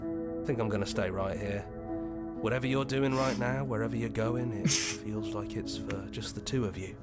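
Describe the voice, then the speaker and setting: A man playing a gentle, self-effacing inner voice of a hero in a video game, hesitant and reassuring. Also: fairly low pitch, steady tone, normal speed, natural voice. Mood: gentle, resigned. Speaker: game character. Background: loud plucked-string music.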